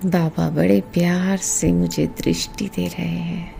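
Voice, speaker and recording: A woman leading a guided meditation in a hushed, unhurried way, with long pauses between phrases. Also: lowish voice, little pitch movement, gravelly. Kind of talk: slow guided meditation commentary. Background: meditation music.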